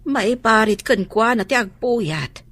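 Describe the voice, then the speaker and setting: A woman's voice: a little high, animated, takes it slowly, a bit bright, breathy edge, no echo, full character voice. A Filipino woman with an Ilocano accent playing a worried, stern, protective mother in a radio drama. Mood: concerned.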